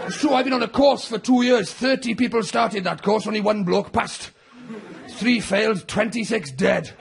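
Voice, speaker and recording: A man's voice: high voice, gravelly. A Welsh man doing stand-up comedy as host, chatting and teasing the audience with mock-serious stories, on a theatre stage. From Wales. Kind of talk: stand-up comedy with crowd work. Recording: live theatre show with crowd noise.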